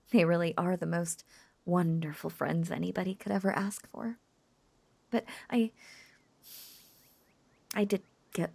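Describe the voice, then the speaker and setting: A woman voicing an obsessive, possessive witch in an intimate roleplay audio drama, cooing and coaxing in soft, breathy half-whispers. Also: mid-range voice, heavily acted. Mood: possessive, lovesick. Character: lovesick yandere witch, obsessive, possessive, sweetly unhinged.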